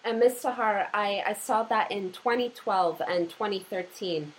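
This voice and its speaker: A Canadian-born woman whose first language is Polish, interviewing a guest in an earnest, measured way and often repeating her phrases. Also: medium pitch, low-quality recording.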